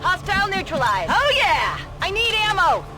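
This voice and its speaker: An actress playing a brisk soldier in a video game, giving urgent, clipped combat callouts. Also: female voice, extremely high pitch, big pitch swings, over loud music. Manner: urgent, clipped military delivery.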